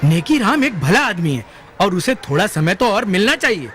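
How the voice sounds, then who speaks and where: A man playing an indignant townsman speaking up for someone in an animated moral story. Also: sits high, normal speed. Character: indignant male townsman defending a good man. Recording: music bed behind the voice.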